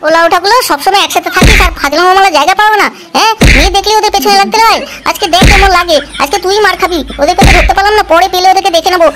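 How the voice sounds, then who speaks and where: Fiery village sister-in-law for cartoon comedy, acted by a woman: piercingly high, fast-talking, cursing. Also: loud music behind the voice. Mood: furious scolding. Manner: shrill rapid scolding. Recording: clearly noisy recording.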